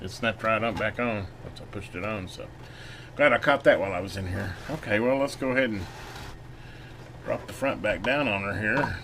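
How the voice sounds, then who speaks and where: A man giving a casual hands-on repair demonstration, folksy and unhurried as he talks while working. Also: lowish voice, a bit bright. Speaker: channel host doing electronics repair. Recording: noisy room, clearly audible background noise.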